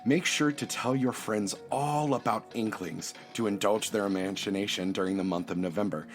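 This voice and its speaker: A man's voice: fairly low pitch, normal speed. A man reading a scripted introduction and credits as a podcast announcer. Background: prominent music.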